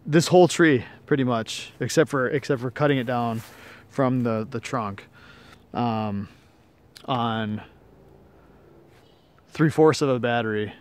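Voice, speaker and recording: A man's voice: mid-range voice, slow pace, pauses often, clean tone. A man with a mid-range voice talks casually through a hands-on demonstration outdoors. He is plain-spoken and easygoing, feeling his way through sentences with some hesitation. The voice is picked up by a handheld camera in the open air. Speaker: amateur product demonstrator. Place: outdoors in woodland.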